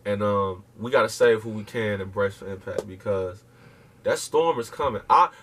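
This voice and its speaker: A man's voice: fairly low pitch, normal speed, a bit bright, a little hiss or hum. A man speaking, lecturing emphatically and profanely as an interview guest, firing off rhetorical questions. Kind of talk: impassioned casual interview talk.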